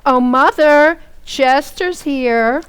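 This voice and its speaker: A woman's voice: high voice, drawn-out words. A woman playing a forgiving, coy housewife in an old-time radio comedy. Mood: eager.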